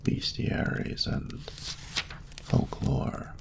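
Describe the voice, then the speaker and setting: A man voicing an ancient, courtly dragon ruler who speaks in a breathy, gravelly murmur with formal, doting phrasing, in an intimate romantic audio roleplay. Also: very noisy. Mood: teasing, fond. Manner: slow, formal, archaic, tender phrasing.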